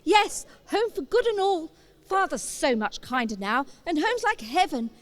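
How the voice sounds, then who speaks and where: A woman's voice: very high voice, very animated, unhurried, no echo, heavily acted. A woman playing an affectionate young girl, an eager and effusive little sister, in a radio play. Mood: joyful, excited.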